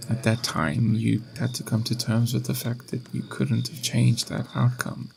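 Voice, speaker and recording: A man playing a patient, gentle, mysterious ageless being in an ASMR roleplay, speaking in an unhurried, philosophical, reassuring way with a gravelly, creaky voice. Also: medium pitch, slight background noise, poor audio. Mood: gentle, wistful. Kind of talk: ASMR roleplay, slow intimate monologue.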